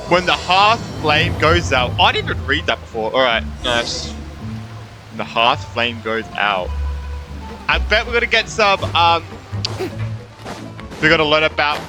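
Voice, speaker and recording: A man doing casual gaming commentary, reacting and swearing freely, sometimes reading dialogue aloud. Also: medium pitch. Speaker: gaming streamer.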